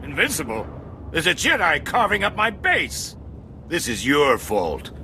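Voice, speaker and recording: A man's voice: a little high, huge pitch swings, measured pace, bright, forceful tone, a little hiss or hum, full character voice. An actor voicing an indignant, combative male gang boss in a sci-fi video game. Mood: outraged. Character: aggrieved, hot-tempered male gang boss villain.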